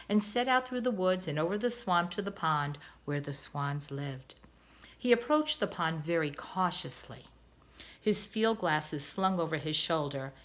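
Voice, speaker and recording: A woman, a teacher, reading a children's novel aloud in an expressive, gentle way. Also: on the low side. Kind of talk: storybook read-aloud.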